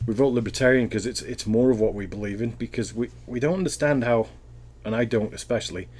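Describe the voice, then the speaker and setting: A man's voice: medium pitch, fast talker, darkish tone. A Welsh man answering interview questions in a casual, thoughtful way, often rambling and restarting his sentences. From Wales.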